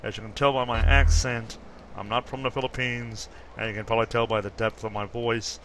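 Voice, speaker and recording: A man's voice: medium pitch, flat delivery, brisk, a bit bright, slight background noise. A man in his fifties casually chatting through gameplay commentary, rambling and correcting himself as he goes. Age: 50s. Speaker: gaming YouTuber.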